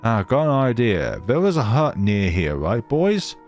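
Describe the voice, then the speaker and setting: A man's voice: medium pitch, sing-song, measured pace, darkish tone, faint music behind. A man voicing a menacing, leering ragged gang leader in a horror visual novel. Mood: scheming.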